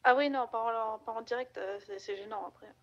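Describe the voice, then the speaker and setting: A woman acts out a caring, slightly scatterbrained woman in an online roleplay game. Her voice is fairly high, heard through dull voice-chat sound. She talks informally, restarting sentences and poking fun at herself. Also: very animated, brisk, gravelly, heavily acted. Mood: chatty, shy. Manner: informal, hesitant with frequent restarts. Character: chatty, caring, self-deprecating woman, playfully scatterbrained. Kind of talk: improvised in-character roleplay chat.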